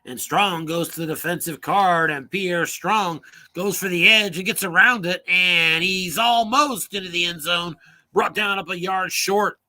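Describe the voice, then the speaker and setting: A man calls a tabletop football game play by play in a brisk, sharp, forceful voice that sits a touch high. His voice is wearing out, with a strained, hoarse edge. He lifts his energy for the big plays. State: losing his voice, coughing. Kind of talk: solo play-by-play commentary.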